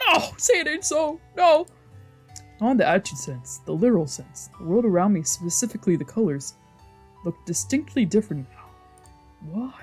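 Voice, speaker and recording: A woman with a fairly low voice streams a story game over soft background music. She reads lines aloud and comments on them casually, in an expressive, exclamatory and slangy way. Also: slightly rough. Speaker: streamer playing and reading a visual novel. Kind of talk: casual let's play commentary mixed with reading game text aloud. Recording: music playing behind the voice.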